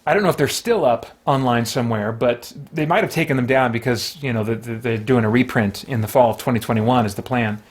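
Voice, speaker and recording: A man's voice: medium pitch, fairly quick, hardly any pauses. A man hosting a solo tabletop game, casually narrating his moves and talking himself through rules and choices. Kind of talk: casual play-by-play, thinking aloud.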